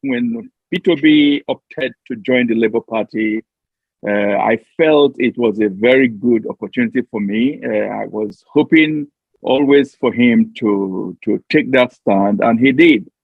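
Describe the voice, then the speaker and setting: A middle-aged man from Nigeria, answering interview questions over a remote link in a halting, deliberate way. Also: mid-range voice, no echo. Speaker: interview guest, diplomatic mission staffer. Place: remote call into a studio. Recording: tinny phone-like remote call.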